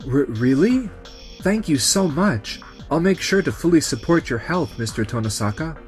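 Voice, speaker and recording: A man's voice: mid-range voice, lively intonation, normal speed, faint music behind, close to an everyday voice. Man as an earnest, courteous young male nurse. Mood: grateful, earnest. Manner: polite, sincere. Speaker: lead and narrator in a manga dub. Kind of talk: scripted dub acting and narration.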